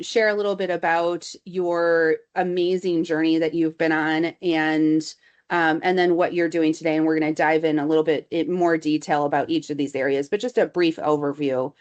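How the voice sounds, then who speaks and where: A woman hosting an interview show, enthusiastic and effusive as she welcomes and introduces her guest. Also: on the low side. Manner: enthusiastic and effusive, with brief backchannels. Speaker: host.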